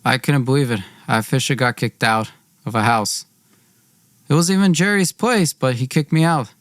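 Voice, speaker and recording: A man's voice: mid-range voice, moderate pace, no echo, studio quality. A man narrating a personal story as host, in an emphatic, aggrieved way with acted-out dialogue. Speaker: podcast host.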